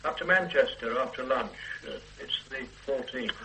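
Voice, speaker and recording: Weary, mild husband. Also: male voice, mid-range voice, normal speed, bright, forceful tone. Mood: placating. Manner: mild, evasive and placating. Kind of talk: 1970s radio drama acting. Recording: distant old radio recording with hiss.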